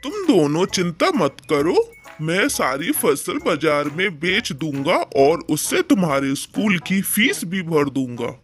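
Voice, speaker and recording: A man's voice: a little high, very animated, measured pace, a bit bright, soft background music. Worried, humble pigeon father. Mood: reassuring. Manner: earnest, pleading, distressed. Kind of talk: cartoon character acting.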